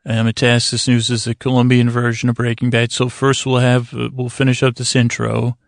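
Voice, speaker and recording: Guy w creaky fry voice, mid pitch, dry sound, rambly sleep podcast host, lots of pauses, soothing. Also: completely flat delivery, no echo. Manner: meandering, digressive, halting with pauses; soothing, lulling delivery.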